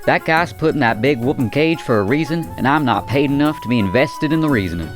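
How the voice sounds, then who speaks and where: A man plays a jaded, unbothered guard in an audio drama. His medium-pitched voice is casual and dry, with slangy phrasing and music clearly behind it. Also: lively intonation, quick pace, close to an everyday voice. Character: jaded, unbothered male guard working for pay.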